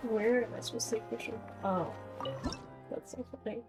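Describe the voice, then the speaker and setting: A woman's voice: fairly high pitch, fairly even intonation, fairly quick. Woman streamer over game music. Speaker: streamer, VTuber. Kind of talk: casual game playthrough commentary, reading dialogue aloud.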